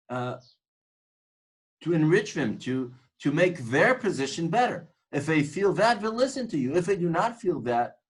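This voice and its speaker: A man's voice: fairly high pitch, low-quality recording. Man lecturing, earnest and reflective. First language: Hebrew. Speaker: tour guide instructor. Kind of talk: informal lecture on guiding.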